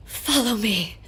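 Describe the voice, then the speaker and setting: A woman voicing a solemn, regal female warrior deity in a video game, formal and grave in her measured declamation. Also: fairly high pitch, a bit bright, a little hiss or hum, heavily acted. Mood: grave, resolute. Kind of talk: English video game voice acting.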